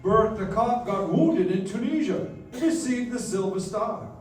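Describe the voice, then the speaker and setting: High-voiced angel storyteller played by a man. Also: expressive, a little mellow. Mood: storytelling. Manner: explanatory, measured storytelling. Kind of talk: radio play narration. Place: live stage in a dance hall. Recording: echoey dance hall, distant, faint music.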